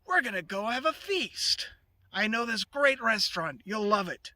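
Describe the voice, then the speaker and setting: A man's voice: sits high, sing-song, moderate pace, pushed and bright, dry recording, exaggerated voice. A man voicing a sly, scheming, persuasive trickster in a told folktale. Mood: cajoling.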